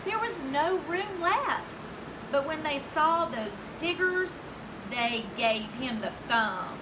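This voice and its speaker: A woman reading a children's storybook aloud in an expressive, deliberate way for young listeners. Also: high voice. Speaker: elementary school educator reading aloud. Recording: distant mic, clear background noise.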